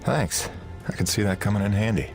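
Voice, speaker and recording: A man's voice: on the low side, brisk, soft background music, close to an everyday voice. An actor playing a plainspoken working-man hero in a video game, casual and dryly wry. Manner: casual, dry, wisecracking. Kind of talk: video game character dialogue.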